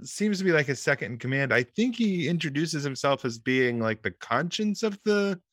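A man's voice: on the high side, big pitch swings, normal speed, a bit bright, dry recording, clean studio sound. A man co-hosting a casual podcast, recapping a film's plot while musing and hedging aloud. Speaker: podcast co-host. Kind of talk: casual movie discussion.

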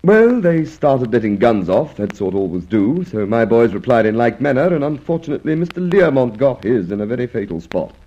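An actor playing a gruff, exasperated and shrewd police inspector in an old radio drama, brusque, clipped and dry. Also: male voice, mid-range voice, big pitch swings, a little fast, hardly any pauses, mellow. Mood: dry, suspicious. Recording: muffled old radio recording.

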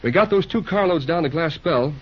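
A man's voice: on the high side, animated, brisk, slightly rough. A man acting a restless, boyish young railroad worker in an old-time radio drama, with a folksy, exclamatory manner. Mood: confident. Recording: muffled old radio recording.

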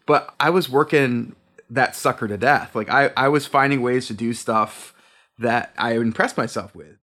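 A man's voice: medium pitch, moderate pace, pushed and bright. A man co-hosting a casual podcast chat, talking about sound design with enthusiasm and easy laughter. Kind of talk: casual conversation.

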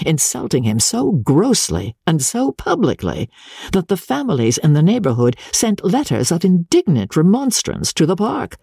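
A woman with a low, husky voice gives a dramatic reading of classic literature, with deliberate phrasing and formal diction. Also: mellow, dry recording, studio quality. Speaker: audiobook narrator and radio reader.

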